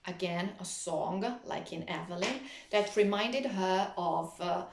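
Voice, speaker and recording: Echoey, lower-voiced woman giving a literature lecture. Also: background noise. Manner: didactic, hesitant phrasing with frequent pauses. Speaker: literature teacher. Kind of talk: explanatory lecture on a literary text.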